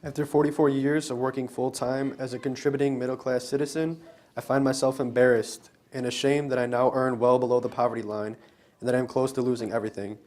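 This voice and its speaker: A young man giving an earnest, deliberate scripted reading as a student presenter at a live event. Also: mid-range voice, level tone, darkish tone. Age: young adult. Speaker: student presenter in readers theater. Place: college library event, live on stage.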